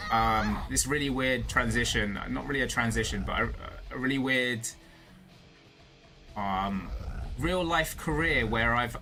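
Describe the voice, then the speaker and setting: A young British man with a slight American tinge to his accent talks casually to his stream at a middle pitch. He rambles through fillers and restarts and laughs often. Music plays clearly under his voice. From Britain. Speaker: VTuber streamer. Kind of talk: casual chat with stream viewers. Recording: music playing behind the voice.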